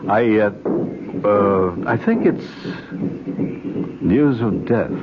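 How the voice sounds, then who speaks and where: A halting, low-voiced expedition uncle. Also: male voice, animated. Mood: reluctant, grave. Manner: halting, hedging delivery. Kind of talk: 1970s radio drama acting. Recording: very noisy, dull old radio broadcast.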